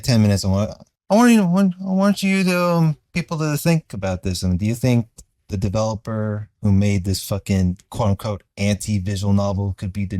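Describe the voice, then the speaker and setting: Low voiced guy doing casual commentary, rambly and sweary, really dry sound. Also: a little mellow, no echo. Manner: rambling, profane. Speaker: commentary video creator.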